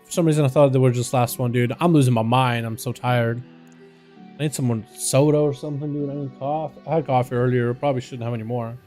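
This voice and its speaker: A man's voice: medium pitch, steady tone, quick pace, warm tone, faint music behind. A young man from Russia casting video game duels live, chatting loosely with his viewers and calling them "boys.". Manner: chatty, calls out to chat as "boys". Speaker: livestream host and duel caster. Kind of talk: casual game commentary.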